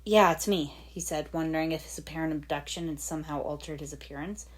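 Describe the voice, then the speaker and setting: Low-pitched woman narrating a story. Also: level tone, fast, a little mellow. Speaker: fan fiction podfic reader. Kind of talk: dramatic scripted story narration.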